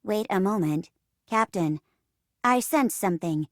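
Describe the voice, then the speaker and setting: A woman voicing an earnest, logical robot in a theatrical space drama game, speaking in a stilted, deliberate way. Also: mid-range voice, animated, no echo, clean studio sound, lightly acted. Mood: measured, logical. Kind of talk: theatrical sci-fi game voice acting.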